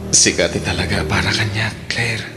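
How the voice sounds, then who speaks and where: A man's voice: lowish voice, fairly even intonation, moderate pace, natural voice. A man with an Ilocano accent acting in a radio drama, playing a forgiving, earnest suitor who works abroad. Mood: tender. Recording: radio drama with music underneath.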